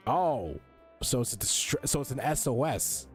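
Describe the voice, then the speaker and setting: A man's voice: medium pitch, sing-song, unhurried. A man giving casual, off-the-cuff commentary as he plays through a story game. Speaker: gamer reacting to a story quest. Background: game music.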